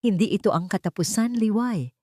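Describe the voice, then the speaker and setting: Woman as wealthy Filipino male protector for audio storybook: soft-spoken, assured, measured, dry close sound. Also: medium pitch, dry recording, voice pushed up, lightly acted. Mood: reassuring. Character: calm, commanding, protective rich man. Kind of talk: dramatic audio storybook dialogue.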